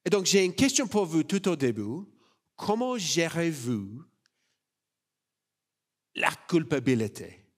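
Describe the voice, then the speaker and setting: Young Australian-accented male preacher, slightly high voice, unhurried, chatty and personal. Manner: relaxed and personal, many fillers and self-corrections. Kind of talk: sermon.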